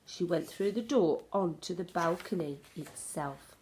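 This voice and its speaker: A woman reading a children's story aloud in an expressive narrating style. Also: on the low side, measured pace, slight background noise. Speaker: storybook reader.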